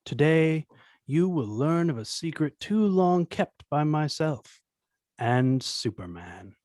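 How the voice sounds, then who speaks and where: Man playing higher-voiced scheming tycoon villain, pompous. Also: sing-song, slow pace, darkish tone, no echo, studio quality. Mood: pompous, showy. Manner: pompous public speechmaking. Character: smooth-talking scheming male tycoon villain. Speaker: table-read performer. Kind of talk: dramatic script read-through.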